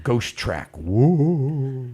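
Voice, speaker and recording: A man's voice: medium pitch, extremely slow, crowd noise. A man with a Baltimore accent hosting a casual real estate live stream, joking and peppering his talk with "man". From Baltimore, USA. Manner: animated, joking, says "man" often.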